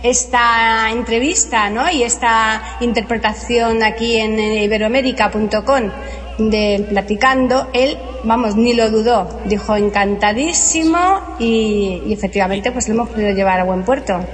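Modest, softly laughing woman contributor. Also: on the high side, a little slow, long reverb tail, soft background music. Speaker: podcast contributor.

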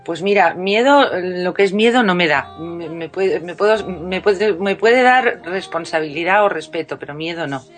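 A woman's voice: fairly low pitch, normal speed, few pauses, faint music behind. Chatty woman singer, radio guest. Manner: warm, chatty, many restarts and fillers. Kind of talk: casual radio interview.

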